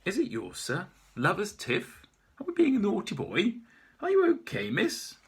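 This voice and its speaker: A man voicing a calm, overly polite male police officer. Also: high-pitched, moderate pace, breathy edge, voice pushed up, exaggerated voice. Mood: coaxing.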